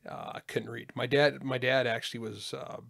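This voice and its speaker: A man's voice: medium pitch, fairly even intonation, normal speed, gravelly, fairly bright tone. A man from California co-hosting a podcast, telling stories with wry, exaggerated jokes. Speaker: podcast co-host. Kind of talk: casual podcast chat.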